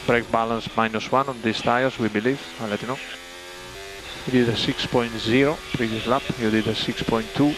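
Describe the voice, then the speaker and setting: A man's voice: medium pitch, fairly even intonation, moderate pace. Male race engineer on noisy team radio. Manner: clipped, reading out numbers. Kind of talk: terse technical radio instructions. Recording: noisy motor racing radio link.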